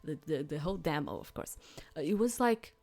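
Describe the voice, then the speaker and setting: A woman doing a casual game playthrough commentary, halting and repetitive, often checking that the listener follows. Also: sits low, lively intonation, vocal fry, darkish tone. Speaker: gamer presenting a game playthrough. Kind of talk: reading game text aloud with casual commentary.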